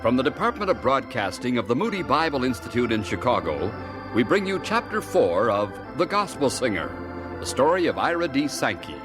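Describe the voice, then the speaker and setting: A man's voice: medium pitch, sing-song, fairly quick. A man announcing a radio drama in a formal introductory style. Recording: loud organ music under the voice. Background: organ music.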